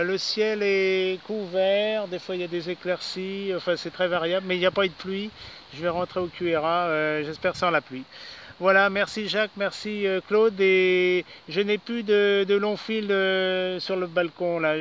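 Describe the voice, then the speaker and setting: Higher-voiced man on lo-fi radio contact. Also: fairly even intonation, normal speed, a bit bright. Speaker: amateur radio operator. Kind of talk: casual radio contact chat. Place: outdoors in a park. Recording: band-limited outdoor radio recording, faint noise.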